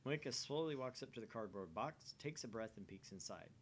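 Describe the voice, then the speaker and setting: Expressive man reading with lively intonation. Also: medium pitch, brisk, a little hiss or hum. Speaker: gaming channel host. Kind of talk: expressive read-aloud with casual asides.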